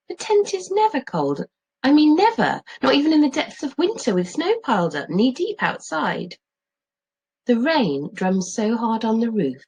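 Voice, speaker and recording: A middle-aged woman reads a children's story as a teacher would, with very expressive, varied intonation. Her voice has a slightly husky edge and a medium pitch. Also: rough recording. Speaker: teacher reading aloud.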